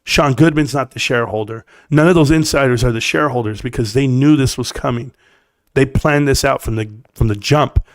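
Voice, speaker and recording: A man's voice: mid-range voice, fairly quick, no room sound, clean studio sound. A middle-aged man with a scratchy voice, giving a blunt, emphatic, opinionated stock rant to viewers on a livestream. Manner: blunt, emphatic, talks straight to the chat. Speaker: stock market live streamer.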